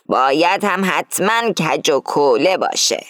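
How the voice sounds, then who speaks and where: A woman reading as an older female gang leader who lays out a heist plan firmly and deliberately. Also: low-pitched, lively intonation, a bit bright. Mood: scheming, instructing. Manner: firm, explaining slowly and deliberately.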